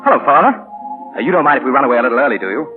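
An actor playing a cocky, charming young spendthrift gentleman, all glib banter in 1940s radio style. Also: medium pitch, animated, racing, music in the background, lightly acted. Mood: jaunty, confident. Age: young adult. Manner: glib, breezy upper-class banter. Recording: muffled vintage 1940 radio broadcast.

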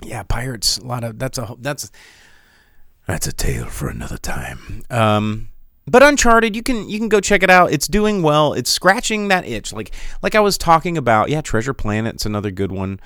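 A man's voice: medium pitch, very animated, moderate pace, fairly bright tone, no echo, clean studio sound. A man hosting a casual live-streamed podcast, rambling from thought to thought with lots of restarts and reading out viewer comments. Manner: rambling, digressive, many restarts. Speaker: podcast host.

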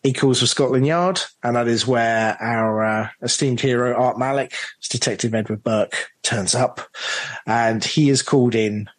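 A man with a medium-pitched voice talks as an interviewed director. He is enthusiastic and conversational, stressing his points with emphasis. The sound is dry, with very little room in it. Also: steady tone, a little slow, no room sound. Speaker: audio drama director being interviewed. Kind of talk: animated interview chat.

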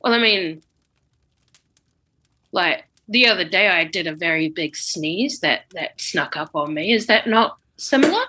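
Comedy sketch: oblivious old wizard headmaster woman performing, bright-toned, casual, chatty, stumbles over words. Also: fairly low pitch, animated, a little slow, breathy edge, exaggerated voice. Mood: breezy. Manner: stammering, informal, slangy. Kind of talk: improvised comedy audio sketch.

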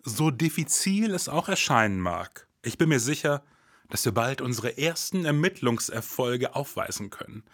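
An actor playing a formal, pompous detective in a satirical noir podcast drama, with stilted, theatrical phrasing. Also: male voice, medium pitch, animated, moderate pace, a bit bright, no room sound, clean studio sound. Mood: soothing, pompous.

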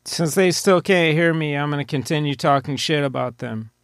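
A man's voice: a little high, moderate pace, smooth, no echo, studio quality. A man co-hosting a podcast in casual chat, with a sarcastic, complaining way of talking. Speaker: podcast co-host.

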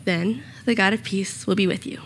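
Slightly raspy young woman preaching. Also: medium pitch, steady tone, normal speed, fairly bright tone, slight background noise. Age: young adult. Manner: earnest and personal, with small self-conscious asides. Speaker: youth speaker giving a short sermon. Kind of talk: sermon with scripture reading and prayer. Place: church room.

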